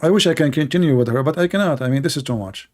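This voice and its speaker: A man's voice: on the high side, lively intonation, racing. A man whose first language is Arabic, hosting a call-in show and pressing callers with repeated, insistent questions in non-native English. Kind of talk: heated call-in debate.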